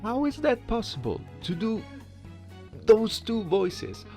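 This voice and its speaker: A man gives casual commentary as host of a gaming video. His voice is animated and moves around a lot, with noticeable vocal fry, over quiet background music. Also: high-pitched, slow pace. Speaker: gaming channel host, amateur voice impersonator.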